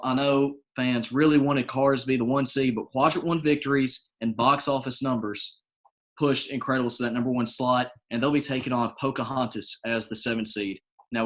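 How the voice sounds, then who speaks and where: A man giving mock-serious sports-style analysis as a correspondent, reading out tournament seedings. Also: mid-range voice.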